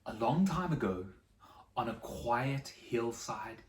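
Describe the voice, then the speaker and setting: A storyteller telling a Bible story to children, with dramatic pauses and repetition for emphasis. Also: medium pitch, animated. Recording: echoey room, distant microphone.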